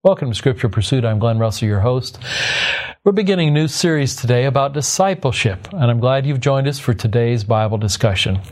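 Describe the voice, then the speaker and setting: A man hosting a Bible radio program, opening with a calm reflection and then interviewing a guest in a friendly way. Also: mid-range voice, fairly quick. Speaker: radio host.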